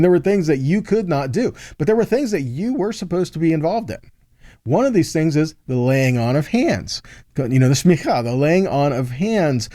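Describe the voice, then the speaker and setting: Mellow man teaching scripture, dry sound. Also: medium pitch, no room sound. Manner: explanatory, checks in with "okay?" and "right?". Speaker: Bible teacher. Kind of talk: conversational sermon teaching.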